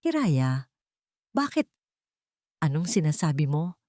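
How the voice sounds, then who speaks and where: A woman's voice: low-pitched, very animated, slow pace, mellow, no echo, clean studio sound, exaggerated voice. A woman with a Filipino accent voicing an anxious, frightened wife with a trembling, urgent delivery in a narrated story. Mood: alarmed.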